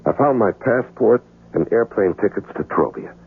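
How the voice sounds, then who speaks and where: A man playing an earnest, lonely amnesiac in a 1970s radio drama, speaking in a measured, reflective, hesitant way. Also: fairly low pitch, animated, breathy edge, full character voice. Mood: troubled, confiding. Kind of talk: 1970s radio drama acting, narration and dialogue. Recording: muffled vintage radio recording, faint music.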